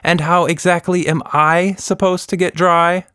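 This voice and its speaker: A man's voice: high-pitched, flat delivery, a little slow, sharp tone, clear, resonant tone, no room sound, clean studio sound, voice pushed up. A man voicing a curious, plucky young girl in a children's adventure story, with a questioning, exclaiming manner. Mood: indignant.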